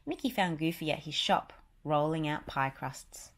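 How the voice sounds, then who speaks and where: A woman's voice: deep voice, normal speed, slight background noise. A woman narrating a children's picture book, reading it aloud as a story.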